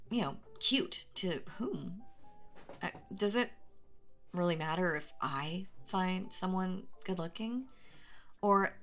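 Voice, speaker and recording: Soft music plays behind a shy, adorkable young woman, acted by a woman in an audio roleplay. She speaks slowly with a creaky edge, stammering and second-guessing herself as she rambles. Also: on the low side. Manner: hesitant, stammering, nervously giggly, self-doubting. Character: very shy, anxious, rambling adorkable young female stranger in cafe. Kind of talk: intimate audio roleplay, rambling chat addressed to listener.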